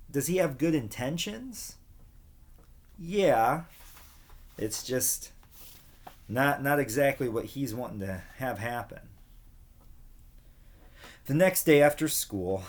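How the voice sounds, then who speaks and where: A man reading a children's storybook expressively, with dramatic pauses and casual asides that question the young listeners. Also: medium pitch. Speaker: read-aloud storyteller for children.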